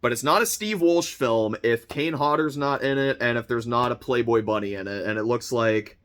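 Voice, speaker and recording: A young man with a slightly hoarse voice, chatting casually and excitedly as he unboxes a monthly subscription package, rambling with lots of fillers and restarts. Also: mid-range voice, normal speed. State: slightly hoarse, recovering from illness. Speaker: vlogger unboxing a film subscription box.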